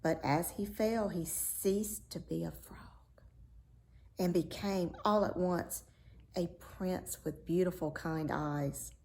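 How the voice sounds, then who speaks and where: A woman reading a fairy tale aloud as a storyteller, measured and expressive. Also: on the low side, a little hiss or hum.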